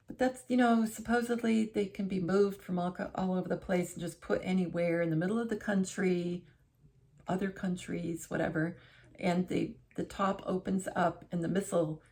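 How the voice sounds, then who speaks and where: A woman's voice: on the low side, vocal fry, darkish tone. A middle-aged woman vlogging from her living room armchair, chatting casually to camera in a rambling way, often restarting and correcting herself. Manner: rambling, self-correcting, conversational.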